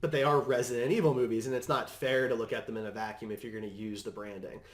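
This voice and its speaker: An easygoing man hosts a casual group chat in a roomy, echoing space. His voice sits at a medium pitch, and he comes across as agreeable and conversational. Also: fairly even intonation, fast talker. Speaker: podcast host.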